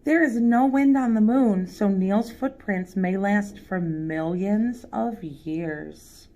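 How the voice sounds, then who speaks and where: A woman's voice: mid-range voice, takes it slowly, warm tone. A woman voicing a friendly, knowledgeable moon being in a children's storybook, speaking in formal, instructive phrases. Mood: reassuring, explaining. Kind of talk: children's storybook read-aloud character.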